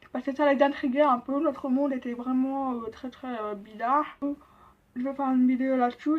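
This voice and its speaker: A young deaf woman with a deaf-speech accent, explaining things in a casual vlog, halting with many pauses and fillers. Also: sits high. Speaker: vlogger. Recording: dull lo-fi sound.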